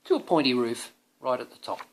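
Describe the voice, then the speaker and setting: Male reader for a children's picture book. Very animated intonation, playful drama, parental asides. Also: mid-range voice, a little fast, noisy background. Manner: dramatic, playful, often asking the listener questions. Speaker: father reading a picture book to his young son.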